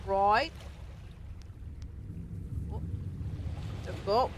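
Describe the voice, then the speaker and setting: A woman's voice: medium pitch, very slow, background noise. A woman streaming a game, giving casual, informal reactions in short exclamations over game ambience. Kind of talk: casual let's-play reactions.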